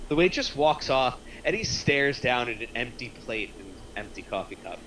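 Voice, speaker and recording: A man's voice: mid-range voice, very animated, normal speed, fairly bright tone, slight background noise, poor audio. A man reading scripted stage directions aloud in narration at a screenplay table reading.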